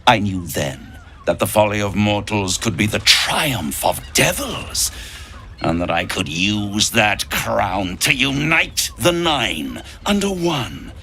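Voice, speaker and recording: An actor playing a suave, scheming, grandiose devil in a video game, with silky, theatrical delivery. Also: male voice, fairly low pitch, big pitch swings, creaky, sharp tone, soft background music, heavily acted. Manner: theatrical, silky, drawn-out delivery with dramatic swoops. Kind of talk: dramatic video game acting.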